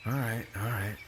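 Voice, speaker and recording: A man's voice: fairly low pitch, very noisy. A man playing a laid-back, devoted young warrior husband in an ASMR romance roleplay, talking in casual slang. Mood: playful, affectionate. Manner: casual slangy talk, stammers when flustered.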